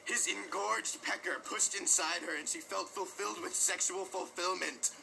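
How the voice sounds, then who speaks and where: A man giving a mock-dramatic, overwrought reading of parody romance fiction, with drawn-out emphasis. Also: high-pitched, steady tone, vocal fry, bright, forceful tone, a little hiss or hum. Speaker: reader of a comic dramatic reading.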